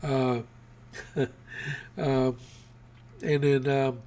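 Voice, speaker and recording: A man's voice: mid-range voice, level tone, very slow, mellow. A man from Texas hosting his own political news show, talking casually with many fillers and restarts while losing his voice.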